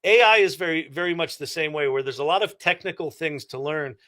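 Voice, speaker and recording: A man's voice: on the high side, brisk, sharp tone. A middle-aged man giving casual, reflective and explanatory answers as an interview guest. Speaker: interview guest, entrepreneur and former actor.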